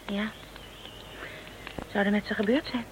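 A woman's voice: mid-range voice, steady tone, a little slow, close to an everyday voice, poor audio. An actress playing an earnest, emotive young woman settling on a new planet in a radio play. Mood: solemn, hopeful. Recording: radio drama with faint noise.

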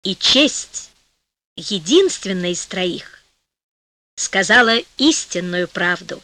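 A woman narrating a fairy tale from a script in an expressive, theatrical, measured storytelling manner. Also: on the low side. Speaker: audiobook narrator.

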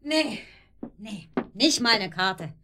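An actress playing a chatty, hospitable neighbour in a radio drama, insistent, colloquial and bustling. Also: female voice, mid-range voice, lively intonation, slow pace, bright, forceful tone, a little hiss or hum. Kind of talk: naturalistic German radio drama.

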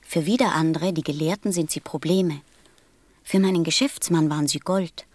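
A woman playing a gentle, wistful small boy from a star in a German radio play, speaking softly, tenderly and solemnly. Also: sits low, moderate pace, dry recording. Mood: tender, consoling. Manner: tender, solemn, simply spoken.

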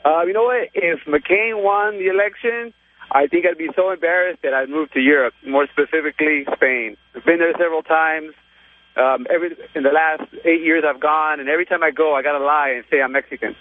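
A man's voice: fairly high pitch, brisk, no echo. Guy from LA calling in, fed up and indignant, tinny phone sound. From Los Angeles, United States. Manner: indignant, exasperated. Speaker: caller. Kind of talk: call-in opinion.

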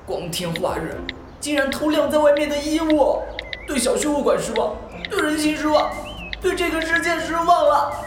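Excitable, high-pitched young male idol. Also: normal speed, reverberant, background noise. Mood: outraged, dramatic. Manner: theatrical, gushing, pouty. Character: excitable, dramatic young male idol obsessed with fan pairings. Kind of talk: Chinese audio drama acting.

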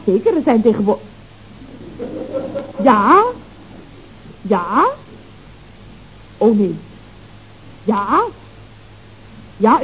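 A naive, matter-of-fact secretary in a vintage radio comedy. Her high voice swoops up and down as she answers in a hesitant, literal way. The old recording sounds dull. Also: slight background noise, exaggerated voice. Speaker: actress in a radio comedy serial. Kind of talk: 1970s Dutch radio comedy acting.

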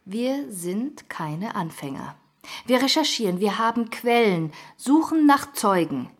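A woman playing a determined, accusing investigative journalist in a radio drama, firm and pointed, with a husky voice. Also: a little high, sing-song, a little slow, heavily acted.

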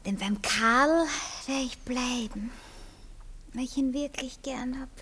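A high-pitched young Viennese woman, tipsy and flighty, in a theatrical radio drama. She pouts, sighs and drops into whispers, defiant and dramatic. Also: slow, a bit bright, slightly rough, full character voice. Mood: dreamy, tipsy. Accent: Viennese Austrian. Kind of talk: radio play acting, theatrical.

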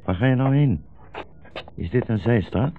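An actor playing a weary, gentle old blind rabbi in a 1960s radio play, speaking in a solemn, wry, rhetorical way. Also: male voice, medium pitch, expressive, moderate pace, close to an everyday voice. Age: older. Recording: dull 1960s radio recording, music behind.

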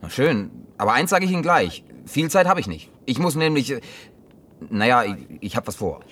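Awkward male drifter, medium pitch, hesitant, gruffly excitable, slightly distant, radio drama. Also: moderate pace. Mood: wary, puzzled. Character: awkward, grumbling male drifter, last man on earth. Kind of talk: German science-fiction radio play acting.

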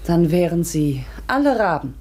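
A woman playing an inquisitive, quick-witted young lady in a radio play, questioning in an animated, husky voice. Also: fairly low pitch. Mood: curious, challenging.

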